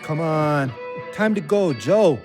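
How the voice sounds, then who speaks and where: A man's voice: fairly high pitch, animated, takes it slowly, darkish tone, faint music behind. A man playing an earnest, plain-spoken ex-convict and loyal friend in a naturalistic audio drama. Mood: coaxing.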